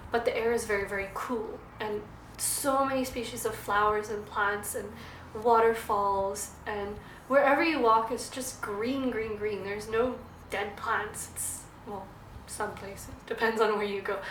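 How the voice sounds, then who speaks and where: A young woman from South Africa, slightly hoarse, chatting upbeat to camera in a casual vlog. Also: fairly high pitch, fairly bright tone. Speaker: vlogger. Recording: echoey room.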